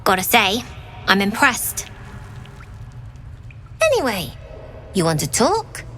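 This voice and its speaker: A woman's voice: mid-range voice, big pitch swings, slow, a bit bright, slight background noise. A woman voicing a frank camp fighter in a fantasy game. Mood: impressed, frank. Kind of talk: scripted game dialogue.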